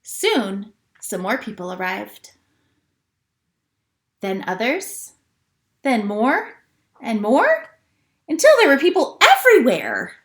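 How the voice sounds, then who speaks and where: A woman leading a children's church storytime at home, talking gently to young listeners with questions and reading aloud. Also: fairly high pitch, wildly swooping pitch, slow pace, long pauses, reverberant. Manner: gentle teacher talk, asking children questions.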